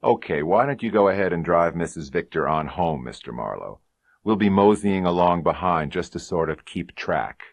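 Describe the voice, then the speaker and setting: A man's voice: low-pitched, animated, normal speed, gravelly, no echo, full character voice. A man voicing a cocky, sardonic police sergeant with a mock-folksy drawl in a hardboiled detective audiobook. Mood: dryly firm.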